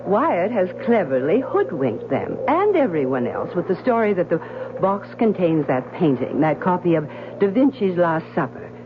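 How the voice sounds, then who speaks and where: An actress playing a curious, insistent wife aboard a sailing ship, in a 1970s radio drama, with an urgent, suspicious delivery. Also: female voice, lowish voice, very animated, normal speed. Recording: tinny old radio broadcast with hiss.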